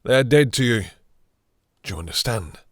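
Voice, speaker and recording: A man playing a possessive, domineering vampire master in an intimate roleplay audio drama, with slow, theatrical, condescending menace and a breathy, seductive purr. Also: mid-range voice, expressive, no echo, clean studio sound, lightly acted. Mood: stern, threatening.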